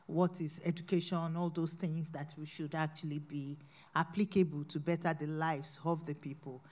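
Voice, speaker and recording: A woman speaking on a discussion panel, explaining quickly with small restarts. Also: deep voice, flat delivery, darkish tone.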